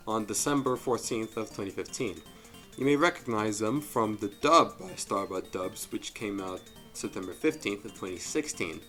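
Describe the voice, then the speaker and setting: A man giving a casual, jokey review commentary as the host, with animated delivery and frequent asides. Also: on the low side. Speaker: host of a fan story review show. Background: music.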